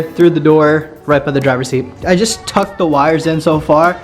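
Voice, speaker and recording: A young man casually talking through a car light install for his vlog, excited and slangy, saying "dude" a lot. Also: on the high side. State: voice getting hoarse. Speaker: car vlogger. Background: background music.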